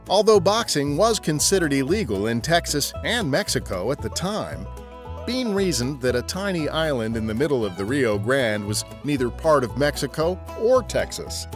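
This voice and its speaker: A man narrating a scripted history podcast as a storyteller. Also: mid-range voice.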